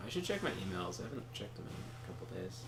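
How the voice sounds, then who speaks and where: A man's voice: deep voice, very animated, fast. A man chatting casually over a game, telling rambling anecdotes full of fillers and chuckles. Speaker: co-host of a game stream. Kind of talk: casual chat while gaming. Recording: roomy echo, faint background noise.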